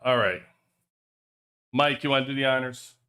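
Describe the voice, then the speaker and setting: A man's voice: medium pitch, moderate pace, sharp tone, clean tone. A man hosting a livestream in loose, rambling casual chat with joking asides, talking into a cheap headset. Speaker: livestream host. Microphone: cheap headphones headset.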